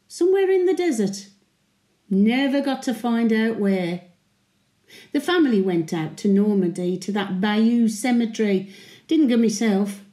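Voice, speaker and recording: A woman's voice: medium pitch, mellow, echoey room, lightly acted. A woman playing a feisty, sentimental hundred-year-old Birmingham widow with a Brummie accent, chatting wryly in a dramatic monologue to her late husband. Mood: wistful. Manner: chatty, wry, colloquial storytelling. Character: feisty, sentimental centenarian widow, former Birmingham market trader.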